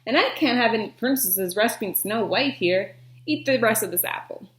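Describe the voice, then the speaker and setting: Lady doing a croaky old storybook witch, hard of hearing, suspicious n menacing, echoey room. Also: fairly high pitch, animated, moderate pace, lightly acted. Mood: dry, menacing.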